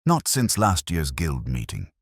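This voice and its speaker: A man voicing a brisk, defensive village woman in a mystery story, snappy and indignant. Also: rumbling low, wildly swooping pitch, husky, no room sound, clean studio sound, exaggerated voice. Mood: evasive.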